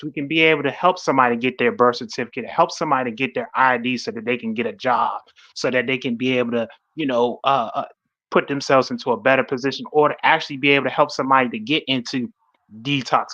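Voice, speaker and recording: A man's voice: mid-range voice, pushed and bright, lo-fi sound. A man talking live and casually with conviction, repeating himself, stumbling and checking that listeners follow him. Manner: halting, repetitive, seeks agreement with listeners. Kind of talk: impassioned casual live talk.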